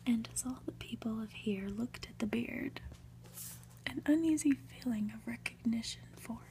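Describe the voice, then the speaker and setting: Woman, ASMR whisper, mellow tone, deliberate storybook narration, faint background noise. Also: fairly high pitch. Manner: whispering, slow, deliberate delivery with occasional asides. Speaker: ASMR reader.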